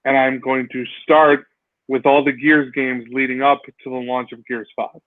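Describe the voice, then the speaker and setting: A man co-hosting a casual gaming podcast, talking in an animated way full of "like" and restarts. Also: medium pitch, normal speed, no echo. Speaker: podcast co-host. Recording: dry, tinny phone-like sound.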